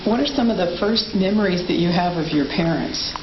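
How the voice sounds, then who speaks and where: A woman interviewer introducing her guest and asking gentle questions, with a few hesitations. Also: lowish voice, fairly quick. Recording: echoey room, dull lo-fi tape with hiss.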